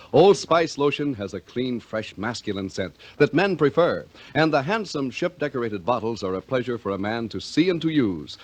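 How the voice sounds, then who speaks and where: A man with an American accent announcing in a polished, emphatic 1950s radio style, reading scripted copy. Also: mid-range voice. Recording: vintage 1950 radio recording.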